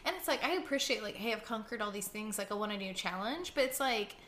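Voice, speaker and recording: A woman co-hosting a podcast, chatting in a relaxed, musing way and hedging her points. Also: mid-range voice, fast talker. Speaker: podcast co-host. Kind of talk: casual chat recapping a sitcom episode. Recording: echoey room.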